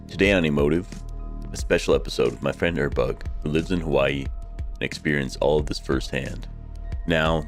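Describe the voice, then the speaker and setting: Very deep-voiced male podcast host. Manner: dramatic, suspenseful storytelling. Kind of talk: scripted narration intro, then interview.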